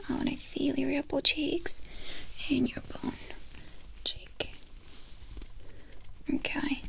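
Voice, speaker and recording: Young female ASMR doctor: whispered, crackly, gentle step-by-step instructions. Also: high-pitched. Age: young adult. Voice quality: breathy, unvoiced whisper. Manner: gentle, reassuring, softly instructing. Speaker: ASMR performer playing a doctor. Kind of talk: ASMR medical exam roleplay. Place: small indoor room dressed as a clinic. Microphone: close microphone in front of the speaker.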